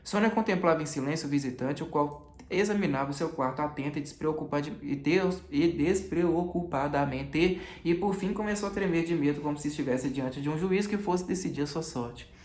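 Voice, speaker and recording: A man's voice: on the high side, a little fast, darkish tone. A man reading a novel aloud as literary narration, occasionally stumbling and correcting himself. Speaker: audiobook reader. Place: echoey indoor room. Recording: echoey room.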